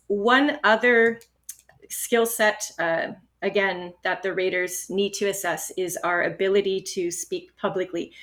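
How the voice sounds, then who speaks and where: Woman, test-prep coach, calm explanatory delivery, mid-range voice. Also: medium pitch. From Canada. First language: English. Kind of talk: instructional podcast talk.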